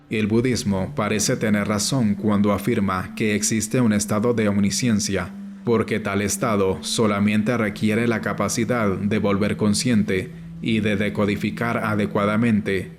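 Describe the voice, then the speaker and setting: Low-voiced man reading an audiobook, even delivery. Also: a little hiss or hum. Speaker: audiobook narrator. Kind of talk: scripted audiobook narration of a nonfiction book. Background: music.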